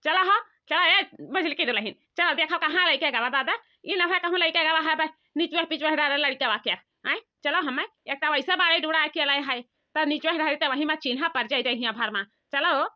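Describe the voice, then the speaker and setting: Woman playing a high-voiced grumbling old village mother-in-law. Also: normal speed, hardly any pauses, close to an everyday voice. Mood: scolding, exasperated. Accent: Awadhi. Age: older. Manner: complaining, rambling rustic talk. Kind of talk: comic cartoon dialogue. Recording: dull lo-fi sound.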